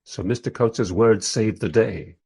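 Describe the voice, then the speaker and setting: A man's voice: fairly low pitch, moderate pace, vocal fry, warm tone, slightly rough. A man playing a bemused documentary interviewer who narrates a radio play in a dry, reflective, self-deprecating way. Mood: wry, reflective. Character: wry, bemused male documentary interviewer narrating his journey.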